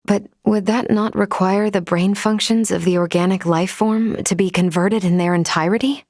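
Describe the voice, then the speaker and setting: A woman playing a logical, composed female android officer in a video game, speaking formally and precisely in a measured, unemotional way. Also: mid-range voice, steady tone, darkish tone, dry recording, clean studio sound, close to an everyday voice. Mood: calm, analytical.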